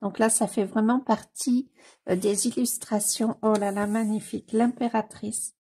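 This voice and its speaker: A woman with a cold talks slowly and casually, her voice very husky with a gravelly edge and a dark, mellow tone. She gushes over what she sees, repeats her delight, and often hesitates on fillers. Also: on the high side, steady tone. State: has a cold. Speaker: coloring book YouTuber. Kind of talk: casual commentary while leafing through a book.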